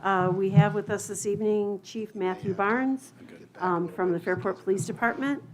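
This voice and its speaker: A woman's voice: mid-range voice, steady tone, moderate pace, darkish tone, slight background noise. A woman chairing a public board meeting in a meeting room, polite and procedural as she thanks guests and moves the agenda along.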